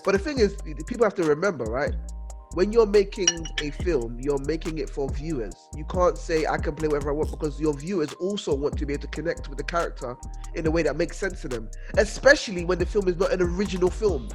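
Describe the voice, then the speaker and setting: A British man co-hosting a podcast, giving emphatic, opinionated takes in casual group chat. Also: sits high. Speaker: podcast co-host. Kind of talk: casual group debate about films.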